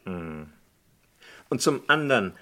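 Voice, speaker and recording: A man's voice: fairly low pitch, big pitch swings, slow, sharp tone, reverberant, full character voice. An actor playing an impatient, probing French defence lawyer in a German radio crime play, formal and insistent in his questioning. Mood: politely inquisitive.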